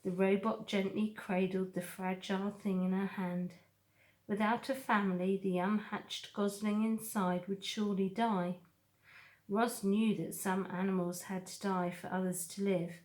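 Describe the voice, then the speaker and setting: Slow-paced woman reading a children's story. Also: mid-range voice, steady tone, a little mellow. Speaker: storybook reader. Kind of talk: scripted narration of a children's novel.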